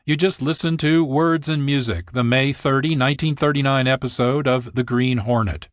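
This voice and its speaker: A man hosting a radio programme, reading scripted narration in a measured, formal way. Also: mid-range voice, steady tone, no room sound, studio quality. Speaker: radio show host.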